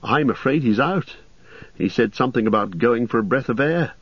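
A man's voice: medium pitch, expressive, moderate pace, slightly rough, no echo, lo-fi sound, pitched above the performer's own voice. A man voicing a polite, anxious young traveller who speaks earnestly and plainly.

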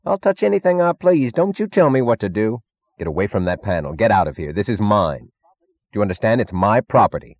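A man's voice: sits low, fast talker, dry recording, lo-fi sound. A man voicing a drunken, swaggering thug boss in an audiobook, belligerent and sneering with slangy bluster. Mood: drunken defiant bluster. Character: drunken swaggering thug boss, loud, defiant, secretly scared. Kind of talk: audiobook character acting.

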